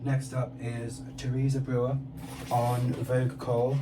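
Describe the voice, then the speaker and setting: A man who collects records, presenting an unboxing in a casual, halting way with pauses between phrases. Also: mid-range voice, monotone. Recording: distant mic with clear background noise.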